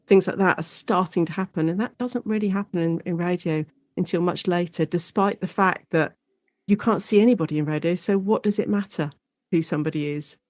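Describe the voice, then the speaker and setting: A woman guest academic explaining her subject in a conversational interview, chatty and animated, thinking aloud as she goes. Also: lowish voice, no echo.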